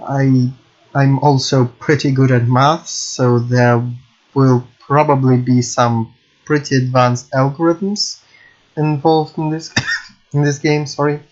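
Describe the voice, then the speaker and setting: A man's voice: mid-range voice, flat delivery, slow pace, highs cut off. A man, thinking aloud while he records himself programming at his home computer, hesitant and self-correcting, often apologizing. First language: Russian. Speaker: hobby game programmer.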